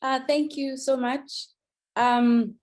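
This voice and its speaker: A young woman from Zimbabwe giving an earnest, thoughtful contribution in an online meeting, pausing often with ums and restarts. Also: high-pitched, steady tone, a little mellow. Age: young adult. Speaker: youth summit participant.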